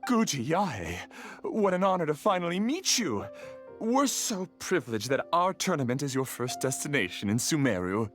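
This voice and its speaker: A man voicing a flattering, obsequious tournament organizer in a video game, effusive in manner. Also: on the high side, moderate pace, soft background music. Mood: fawning. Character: fawning male tournament organizer, smooth flattering businessman.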